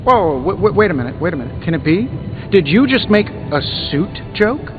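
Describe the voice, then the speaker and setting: A man's voice: high voice, sing-song, normal speed, phone-quality sound, music in the background. A man playing a cocky, wisecracking genius inventor superhero in a video game, with glib, sarcastic banter. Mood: teasing, amused. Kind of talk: video game dialogue acting.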